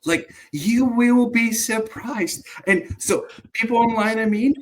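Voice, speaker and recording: A man's voice: extremely high pitch, takes it slowly. Earnest male guest from Bulgaria. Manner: reflective, earnest, stumbling restarts. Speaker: guest entrepreneur. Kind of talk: casual conversational interview.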